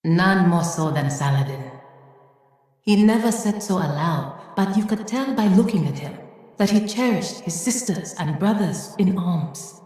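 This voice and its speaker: An actress playing a wise, gentle woman reminiscing in a video game, measured and earnest, like a storyteller. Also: fairly low pitch, expressive. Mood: tender, reflective. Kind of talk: reflective video game monologue. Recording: very echoey, long reverb.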